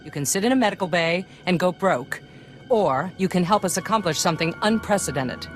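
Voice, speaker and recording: Woman as a low-voiced, resolute project leader. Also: animated, fairly quick, natural voice. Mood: stern. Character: resolute, authoritative female Republic project leader. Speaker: voice actor in a video game. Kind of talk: scripted game cutscene dialogue. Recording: loud music under the dialogue.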